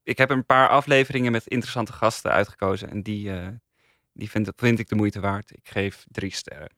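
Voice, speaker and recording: A man's voice: lowish voice, steady tone, fairly quick, sharp tone, no room sound, clean studio sound. A man chatting casually as a reviewer on a podcast panel. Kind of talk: casual chat.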